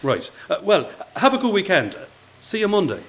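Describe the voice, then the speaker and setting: Male actor as considerate, rushed workmate, highish animated voice, radio drama. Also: full character voice. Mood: hurried, concerned. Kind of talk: radio play acting.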